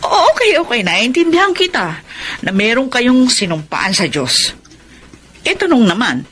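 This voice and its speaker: A woman's voice: on the high side, sing-song, normal speed, a bit bright, husky, full character voice. A Filipino woman playing a frank, protective middle-aged confidante with a sarcastic edge in a Tagalog radio drama. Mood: scolding, exasperated. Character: blunt, nosy, protective older woman confidante.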